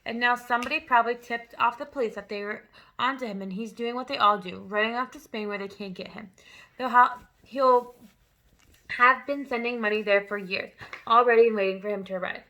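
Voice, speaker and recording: A woman voicing a gentle female schoolteacher who speaks quietly and calmly. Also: a little high, brisk, poor audio. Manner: quiet, soft-spoken.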